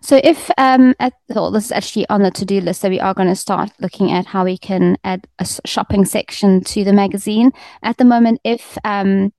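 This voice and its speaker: A woman editor chats about her work in a relaxed, friendly way, filling gaps with ums and trailing restarts. Her voice sits at an ordinary middle pitch. The sound is close and dry, with little room around it. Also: brisk, creaky, dry recording. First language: Afrikaans second language; first language English. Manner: friendly, fluent with frequent ums. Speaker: magazine editor. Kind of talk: casual interview chat.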